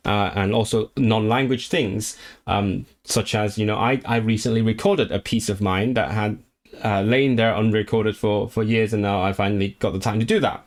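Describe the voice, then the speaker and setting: A man streaming casually about learning languages, talking in a rambling way with many restarts and fillers, eager and enthusiastic about the subject. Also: on the low side. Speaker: language livestreamer. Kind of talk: casual livestream chat, reading viewer comments.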